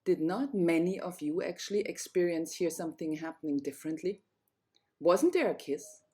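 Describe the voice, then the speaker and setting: A woman's voice: fairly low pitch, animated, normal speed, mellow. A woman storyteller narrating a fairy tale, reading it aloud.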